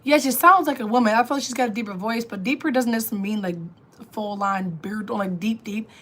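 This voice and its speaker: Woman, livestream host, raspy alto, a little high, loose casual chat with viewers. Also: fast talker, a bit bright. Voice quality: lower alto voice. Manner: chatty, reads and answers comments aloud. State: raspy voice from illness.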